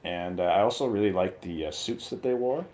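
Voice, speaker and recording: Low-voiced man giving casual opinions. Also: fairly quick, darkish tone. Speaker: guest film reviewer. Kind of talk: casual film discussion.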